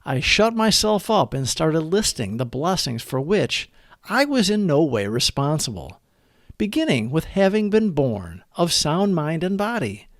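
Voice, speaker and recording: A man giving an earnest, deliberate read-aloud of a scripted recovery meditation as its narrator. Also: fairly high pitch, sing-song, no echo, clean studio sound.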